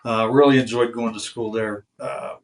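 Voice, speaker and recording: A man in his fifties, a veteran guest in a casual interview, soft-spoken and hesitant, with many fillers and trailing sentences. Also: mid-range voice, steady tone, normal speed.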